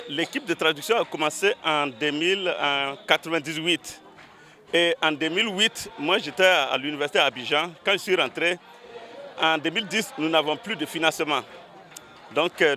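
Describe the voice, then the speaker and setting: Bright-voiced man giving an interview. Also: on the high side, moderate pace. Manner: steady account with some stammering. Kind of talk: news interview.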